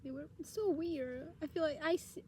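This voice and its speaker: A woman's voice: high-pitched, moderate pace, a little hiss or hum. A young woman from Italy with an Italian accent, co-hosting a casual chat, talking bluntly with plenty of "like" and some swearing. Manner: animated, blunt and swearing, with many "like" fillers. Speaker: podcast co-host.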